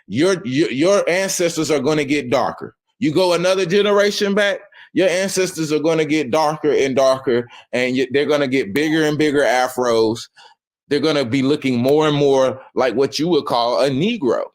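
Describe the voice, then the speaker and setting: A man's voice: a little high, normal speed. A man hosting a casual group debate, talking in an emphatic, lecturing way and often checking in with "right?" and "okay?".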